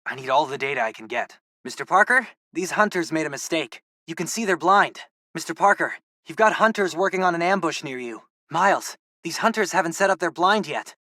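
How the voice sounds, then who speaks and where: A man with an American accent voicing a geeky, excitable teenage boy, a loyal superhero's tech sidekick in a video game, talking informally and eagerly. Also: on the high side, brisk, no echo, clean studio sound. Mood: focused, curious. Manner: informal, eager, nerdy tech talk with quips. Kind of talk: video game voice acting, casual radio-style chatter.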